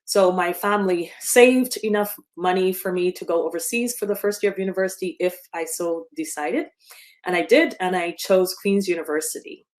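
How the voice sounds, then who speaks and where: A woman's voice: on the low side, moderate pace. Woman, fifties, from Barbados, guest speaker, remote slide talk, earnest, filler-heavy. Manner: earnest, with frequent ums and self-corrections. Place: speaking remotely from home or office.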